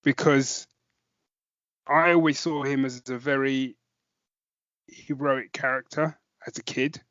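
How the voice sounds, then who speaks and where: A man's voice: medium pitch, fairly even intonation, slow pace, a bit bright, dry recording, highs cut off. A man co-hosting a podcast, chatting casually about a review and thinking aloud as he goes. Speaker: podcast co-host.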